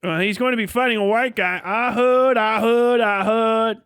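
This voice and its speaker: Man acting wary old-time TV interviewee, high-pitched, halting, folksy, grudging fight fan, dry sound. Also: steady tone, a bit bright, smooth, no room sound. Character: wary mid-century fight-goer interviewed on old television.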